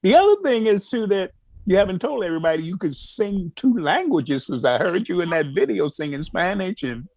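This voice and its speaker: A man co-hosting an interview, excited and effusive, stammering as he praises the guest. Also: high-pitched, a little fast, vocal fry, no room sound. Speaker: co-host. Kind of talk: casual interview chat.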